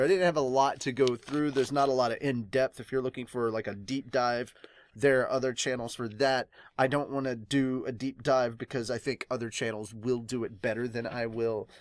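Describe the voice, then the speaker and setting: A man gives a casual, rambling review in an excitable way, often breaking into laughter. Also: medium pitch, dry recording. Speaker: host of a manga review channel. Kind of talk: casual reaction and review talk.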